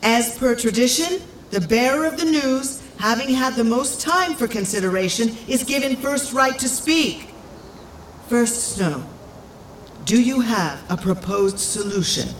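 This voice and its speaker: A woman's voice: fairly high pitch, lively intonation, a little hiss or hum. Woman as a solemn old village matriarch, echoey. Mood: solemn, presiding. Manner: formal, measured, stately. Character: solemn, dutiful elderly female village leader, a grandmother. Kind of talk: dramatic fantasy audio drama acting.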